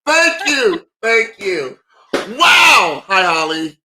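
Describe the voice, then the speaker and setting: A man in his thirties, a comedian guest on a video call, chatting with exuberant, theatrical bursts of loud exclamations. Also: sits high, big pitch swings, extremely slow, very noisy. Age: 30s. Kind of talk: excited casual chat.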